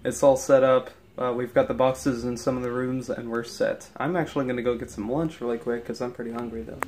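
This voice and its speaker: A man's voice: medium pitch, steady tone, a little fast, background noise. Congested young man rambling in vlog. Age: young adult. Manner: rambling, many ums and restarts. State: congested from allergies. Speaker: vlogger. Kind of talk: casual daily vlog. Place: indoors in a house, also outdoors by the house. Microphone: handheld camera at arm's length.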